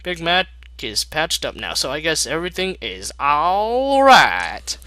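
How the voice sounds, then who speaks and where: A man's voice: a little high, sing-song, unhurried, pushed and bright, dry recording, pitched above the performer's own voice, exaggerated voice. A man voicing a folksy female cartoon farm pony, suspicious but forgiving, with a country drawl. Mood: grudging forgiveness.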